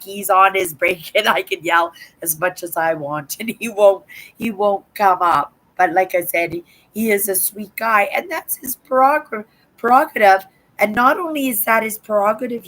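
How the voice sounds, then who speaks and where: An older woman from Canada hosting a livestream, chatting casually with viewers in a hoarse voice, rambling and tagging "right?" onto her sentences. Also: medium pitch, unhurried, a bit bright, poor audio. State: hoarse from a sore throat. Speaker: livestream host. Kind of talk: casual live chat to viewers.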